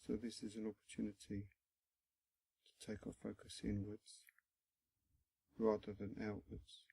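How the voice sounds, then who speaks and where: Male meditation guide, gentle and very unhurried, with a creaky, mellow voice in a close, dry recording. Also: fairly low pitch, completely flat delivery, no room sound, rough recording. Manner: gentle, instructional, with frequent pauses between short phrases. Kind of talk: guided body scan meditation.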